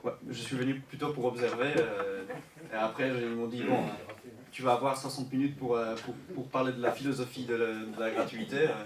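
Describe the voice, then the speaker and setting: A man's voice: mid-range voice, fairly even intonation, brisk, a crowd in the background. A Polish man speaking French as an invited researcher at a conference, self-deprecating and hesitant, laughing easily. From Poland. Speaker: invited researcher, urban geographer. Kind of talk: conference talk. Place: conference room. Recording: roomy hall, far from mic, noise behind.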